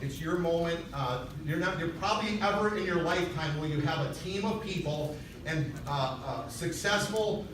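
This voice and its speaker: A man gives a motivational stage talk in a high-pitched voice, conversational but urging. He sounds far from the microphone in a very echoey event hall, with clear noise over the recording. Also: normal speed. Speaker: motivational speaker at a sales convention. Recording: echoey hall, distant mic, noisy.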